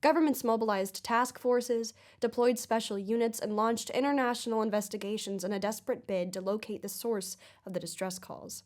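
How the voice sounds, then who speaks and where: Actress playing woman investigative podcast host, middle pitch, earnest, grave, deliberate scripted delivery, audio drama. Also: darkish tone, natural voice. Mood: grave, ominous.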